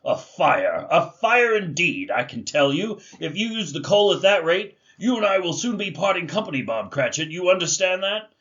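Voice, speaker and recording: Crabby old miser, high swooping voice, curt barking scorn, radio drama, dull lo-fi sound. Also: male voice, very animated, normal speed, few pauses, a bit bright. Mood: scornful, scolding. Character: cold, miserly, grumpy old businessman and employer. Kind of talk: family radio drama acting.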